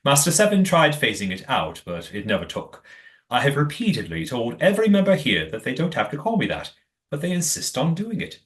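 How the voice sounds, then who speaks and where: A man playing a calm, secretive leader of a commune in an audio play, speaking in a formal, measured, evasive way. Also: mid-range voice. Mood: composed, formal.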